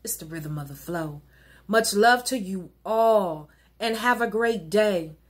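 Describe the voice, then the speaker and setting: A middle-aged woman minister reciting an inspirational rhyming poem in an earnest, rhythmic, exhorting way, with a slightly husky voice. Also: medium pitch, slow pace. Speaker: minister and poet. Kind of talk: recited rhyming spoken-word sermon poem. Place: wood-paneled living room at home.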